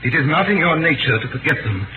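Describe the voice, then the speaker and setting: A man's voice: fairly high pitch, animated, fast, phone-quality sound, lightly acted. A man acting in a 1930s radio drama as an earnest Englishman resolved on self-sacrifice, speaking in a commanding, solemn, theatrical way. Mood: insistent, grave. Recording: scratchy, band-limited 1938 radio recording.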